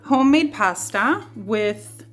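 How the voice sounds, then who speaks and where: A woman's voice: a little high, expressive, slow. A woman, chatting casually and playfully at home while filming a vlog. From Sweden. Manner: chatty, playful, laughs easily. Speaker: vlogger. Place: home kitchen and living room. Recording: echoey room with soft guitar music.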